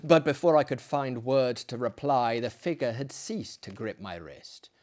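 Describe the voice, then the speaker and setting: Slow, theatrical male gothic storyteller. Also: mid-range voice, expressive. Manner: hammy, deliberate, swinging from hushed to loud. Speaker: dramatic reader, performer. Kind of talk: theatrical dramatic reading of a gothic horror story.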